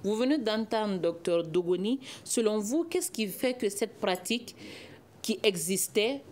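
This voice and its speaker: A middle-aged woman hosting a studio debate, asking questions in a clear, formal way. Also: medium pitch, lively intonation, measured pace, darkish tone. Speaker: host. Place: television studio. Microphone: desk microphone.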